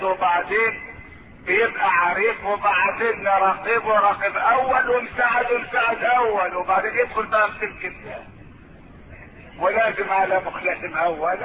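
A man with an Egyptian accent delivers a sermon in a high-pitched voice. He addresses his listeners as a storyteller, mixing everyday asides with stretched, declaimed phrases. The sound is thin and phone-like, with clear noise behind him. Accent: Egyptian Arabic. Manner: rhetorical, alternating colloquial asides with drawn-out declamation. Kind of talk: sermon to a congregation with storytelling and recited verse. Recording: noisy, narrow phone-like sound.

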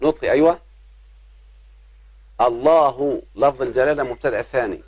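A man, teaching an Arabic grammar lesson. He talks patiently, addresses his students as his children and slows down on examples. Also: medium pitch, a little mellow, dry recording. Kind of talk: grammar lesson explaining verse.

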